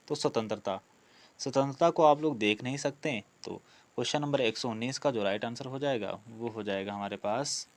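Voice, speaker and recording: A man teaching an online exam-prep class, reading out quiz questions and explaining the answers, often repeating key words. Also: mid-range voice. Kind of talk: online lesson, working through quiz questions.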